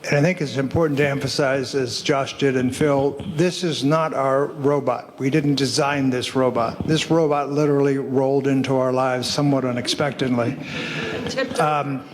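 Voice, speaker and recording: A man speaking informally to an audience as an academic panelist, halting and repeating words as he goes. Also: medium pitch, steady tone, slight background noise. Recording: echoey hall with long reverb.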